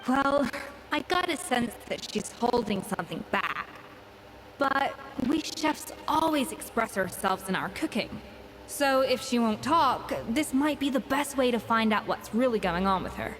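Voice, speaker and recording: A proud, exacting chef from a video game. She speaks slowly and formally in a fairly high voice, with blunt precision, over clear background noise. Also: lively intonation, low-quality recording. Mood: thoughtful. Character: proud, exacting, candid female gourmet chef. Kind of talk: video game voice acting, storytelling.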